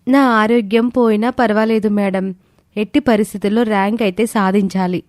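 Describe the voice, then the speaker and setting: A woman's voice: mid-range voice, fairly even intonation, normal speed, a little mellow, dry recording, clean studio sound, voice pushed up, lightly acted. A woman voicing an earnest, respectful teenage girl student in an audio story. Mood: determined, respectful. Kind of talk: scripted audio story dialogue.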